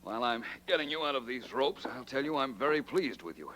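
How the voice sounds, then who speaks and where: An actor playing a suave, confident American detective in an old radio drama, explaining things with wry assurance. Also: male voice, mid-range voice, lively intonation, fairly quick, a bit bright, slight background noise, lightly acted. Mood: brisk, pleased. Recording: reverberant old radio recording.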